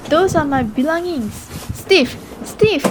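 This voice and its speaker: A woman's voice: sits high, animated, takes it slowly. A woman acting a distressed, indignant wife and mother in an amateur radio drama, emotional and pleading. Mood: outraged. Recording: clear background noise.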